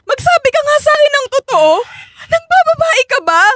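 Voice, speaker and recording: A very high, bright voice playing a clingy young Filipino wife in a radio drama. She talks in whiny, cutesy baby talk, close and dry over faint music. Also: very animated, normal speed, no echo, heavily acted. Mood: hurt, angry.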